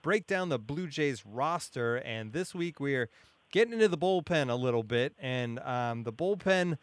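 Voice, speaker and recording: Clear-voiced man hosting a sports podcast. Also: mid-range voice, expressive, normal speed, no room sound. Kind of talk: conversational baseball discussion.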